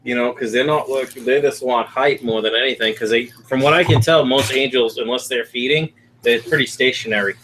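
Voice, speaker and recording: Male stream host, bright tone, rambling, filler-heavy chat reader. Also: medium pitch, fairly even intonation, brisk, slight background noise, poor audio. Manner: rambling, reads comments aloud with fillers. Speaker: livestream host reading viewer chat. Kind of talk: casual live-stream chat. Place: home in Florida.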